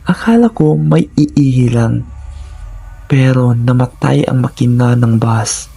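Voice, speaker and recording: A man's voice: mid-range voice, takes it slowly, vocal fry, dark tone, slightly rough, faint music behind. A man reading a scripted first-person horror story in a dramatic, suspenseful manner.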